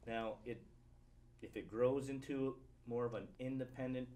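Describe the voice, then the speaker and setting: A man's voice: mid-range voice, steady tone, normal speed, warm tone, slight background noise. A man from Vermont speaking as a guest in a casual political discussion. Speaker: panel guest.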